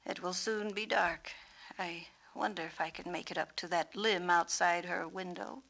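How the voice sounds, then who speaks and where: A woman voicing a gentle, humble storybook peacock who muses slowly and wistfully. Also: fairly low pitch, steady tone, vocal fry, rough recording. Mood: musing. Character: gentle, wounded, humble invisible peacock, musing storybook bird.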